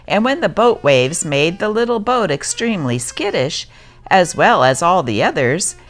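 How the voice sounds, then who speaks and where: A woman's voice: low voice, a bit bright. A woman narrator giving a scripted reading of old newspaper stories.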